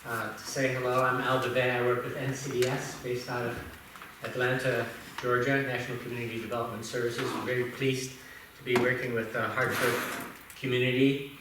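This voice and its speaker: A man's voice: mid-range voice, level tone, background noise. Distant man speaking in an echoey council chamber. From Indonesia. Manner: measured, formal presentation. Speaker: visiting development consultant. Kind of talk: public comment address.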